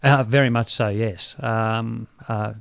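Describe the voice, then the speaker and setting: A man in his forties with an Australian accent, a doctor talking as a guest in a radio studio, relaxed and thoughtful with frequent fillers. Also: mid-range voice, takes it slowly, vocal fry, dry recording. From Brisbane, Australia. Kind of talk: radio interview. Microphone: studio microphone.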